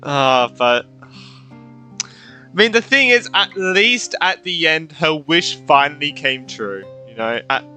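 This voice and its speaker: A man's voice: a little high, animated, slow, bright, forceful tone, faint music behind. A young man doing casual let's play commentary, slangy and thinking aloud as he reacts to a game's story. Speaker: gamer playing through a story quest.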